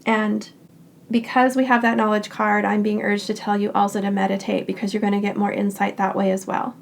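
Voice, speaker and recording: A woman giving a tarot reading in short, unhurried phrases. Her voice is raspy and hoarse because she is getting over a cold. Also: mid-range voice. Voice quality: raspy, scratchy throat. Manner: calm and reassuring, speaks in short unhurried phrases. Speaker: tarot card reader. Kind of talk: pick-a-card tarot reading.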